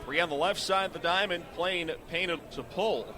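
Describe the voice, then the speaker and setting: Higher-pitched male radio play-by-play announcer. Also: normal speed, background noise. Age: middle-aged. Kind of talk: live radio sports commentary.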